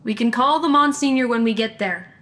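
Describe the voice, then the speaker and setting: A young woman playing a sharp, decisive investigator in a supernatural comedy audio drama, teasing with deadpan banter. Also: a little high, brisk, natural voice. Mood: intrigued, decisive.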